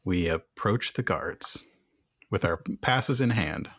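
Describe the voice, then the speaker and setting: Male tabletop player, slightly low pitch, casual role-play chat, very dry recording. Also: lively intonation, slow pace, a bit bright, no echo.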